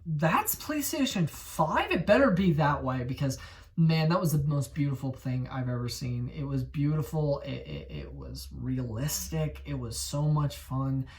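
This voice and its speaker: Excitable young man rambling about games. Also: fairly high pitch, measured pace, recorded from a distance. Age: 20s. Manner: excitable, rambling, self-correcting. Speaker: gaming channel host. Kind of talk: casual gaming commentary.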